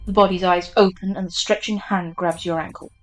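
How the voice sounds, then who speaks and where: A woman reading a scripted horror story in the second person, with a measured, grave delivery. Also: fairly low pitch, steady tone, poor audio. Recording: music behind the voice. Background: background music.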